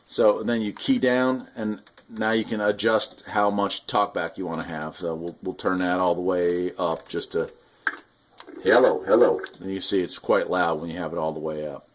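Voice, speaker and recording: A man's voice: deep voice, steady tone, normal speed, a little hiss or hum. A man giving a casual, unscripted product review, explaining things hands-on in a rambling, enthusiastic hobbyist way. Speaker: radio hobbyist reviewer and video host.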